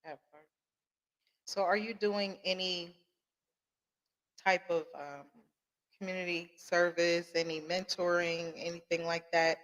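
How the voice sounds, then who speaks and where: A man's voice: high voice, little pitch movement, slow pace, long pauses, a bit bright, poor audio. A man hosting a podcast interview, reacting to his guest with frequent short affirmations and follow-up questions. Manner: responsive, lots of short affirmations. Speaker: podcast host.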